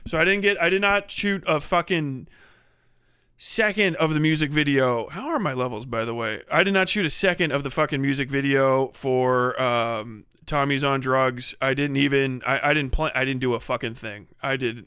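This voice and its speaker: Bright-voiced sweary man livestreaming. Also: medium pitch, clear, resonant tone, no room sound, clean studio sound. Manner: sweary, rambling, animated. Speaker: livestream host. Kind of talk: casual livestream chat.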